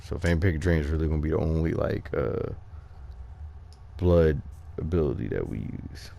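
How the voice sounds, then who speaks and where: A man giving casual, off-the-cuff game walkthrough commentary, thinking aloud in a halting way. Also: rumbling low, expressive, vocal fry, dark tone, lo-fi sound. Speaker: gaming video host.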